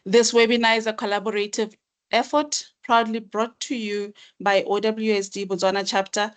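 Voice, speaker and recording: Woman reading a formal welcome over a call, steady rehearsed tone. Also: medium pitch. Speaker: webinar speaker giving welcome remarks. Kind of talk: formal read-out speech.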